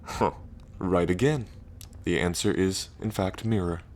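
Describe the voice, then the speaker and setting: A man playing a lonely ancient dragon king in roleplay ASMR, proud yet bashful, with halting, deliberate phrasing. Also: sits low, a little mellow. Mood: playful, challenging. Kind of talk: roleplay ASMR audio drama.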